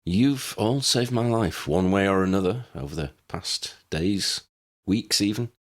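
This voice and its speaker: A man voicing a serious, cautious adult wizard hero in a storybook, measured and worried. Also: deep voice, expressive, voice pushed up. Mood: grateful. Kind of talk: storybook character acting.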